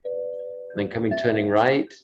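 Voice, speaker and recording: A low-pitched man narrates a devotional pilgrimage as a swami would, unhurried and musing. His phrases come in halting fragments with repeats. Clear kalimba music plays behind him and the audio is a little dull. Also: steady tone. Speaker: swami guiding a virtual pilgrimage. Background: kalimba music, soft mallet-like tones.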